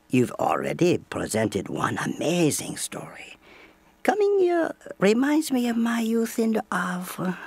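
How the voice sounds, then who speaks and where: Theatrical, creaky-voiced bohemian woman. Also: on the low side, darkish tone, dry recording, heavily acted. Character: worldly, well-travelled dreamy French bohemian woman, a studio's muse. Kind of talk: scripted audio play banter.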